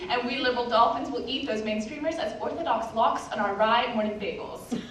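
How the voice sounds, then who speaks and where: Teen female slam poet, high-pitched, theatrical and wry, captured in a very reverberant room. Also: fairly quick. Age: 14, teenager. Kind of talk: slam poetry recital before an audience. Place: indoor live poetry slam, roomy space. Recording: very echoey room, distant mic, background noise.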